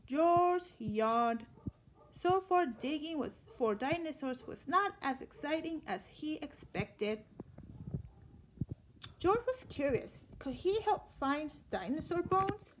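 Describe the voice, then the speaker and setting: A woman teacher reading a children's story aloud in an expressive storytelling manner. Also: high-pitched. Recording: dull lo-fi sound with light noise.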